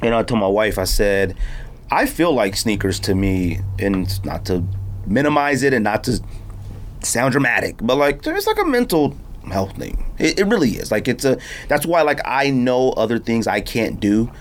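A man's voice: mid-range voice, very animated, moderate pace, slight background noise. A man hosting a podcast, chatting casually in slangy, rambling stories with a guest. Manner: informal, slangy, rambling storytelling. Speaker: podcast host. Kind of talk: casual conversational interview. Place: podcast studio, in-person conversation.

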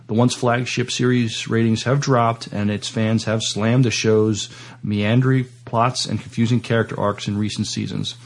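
A man co-hosting a podcast, chatting casually and reading entertainment news in a halting, stammering way. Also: lowish voice, level tone, gravelly. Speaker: podcast co-host.

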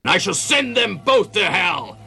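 A man voicing a bombastic, menacing robot warlord in a dubbed cartoon, with a theatrical, shouted delivery. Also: high voice, very animated, unhurried, vocal fry, breathy edge, exaggerated voice. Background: prominent music.

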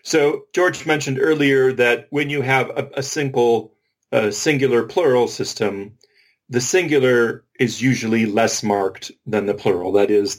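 A man co-hosting a podcast, explaining methodically and informally with examples and asides. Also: mid-range voice. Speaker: podcast co-host. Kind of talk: informal explanatory discussion of linguistics.

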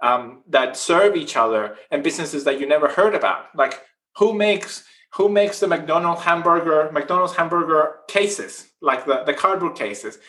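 Earnest Chilean-born man, slightly high voice. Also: animated, normal speed, a bit bright. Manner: earnest, long winding answers. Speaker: podcast guest. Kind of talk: casual podcast interview.

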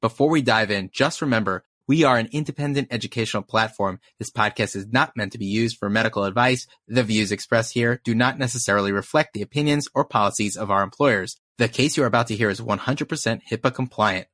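A man hosting a medical education podcast, lively and quick to laugh. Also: on the low side, no echo, studio quality. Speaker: podcast host.

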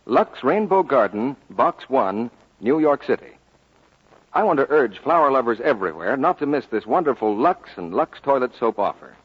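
Lively-pitched, husky vintage radio announcer man. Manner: formal, measured salesmanship. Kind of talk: 1940s radio advertising read. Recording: dull old 1940s radio recording.

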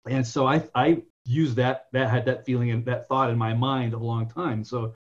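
A husky-voiced man in his fifties, originally from California, talks at length as an interview guest, wandering through anecdotes and often correcting himself mid-sentence. His voice sits at an ordinary pitch, and the recording sounds dull and muffled. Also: fairly even intonation, moderate pace. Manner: rambling, self-correcting, anecdotal. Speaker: guest, software entrepreneur. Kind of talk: conversational interview storytelling.

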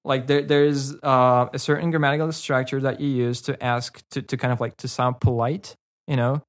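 A man's voice: medium pitch, level tone, moderate pace. A man, a guest in a casual interview, talking hesitantly with many fillers and restarts. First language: Karachay.

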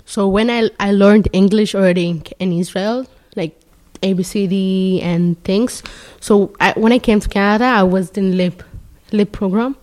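Halting teenage girl. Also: mid-range voice, darkish tone. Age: teens. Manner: plain, halting answers. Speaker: interviewee.

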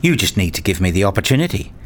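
A man acting as a wounded, self-justifying father in a radio play, speaking in an introspective, deliberate way. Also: low voice, sing-song. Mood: pleading, frustrated. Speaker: narrator and lead actor in a radio play. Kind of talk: radio play narration and dialogue.

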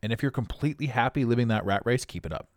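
A middle-aged man with a raspy voice, hosting a podcast with a blunt, preachy motivational monologue. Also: mid-range voice, expressive, fast, no room sound, clean studio sound. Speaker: podcast host.

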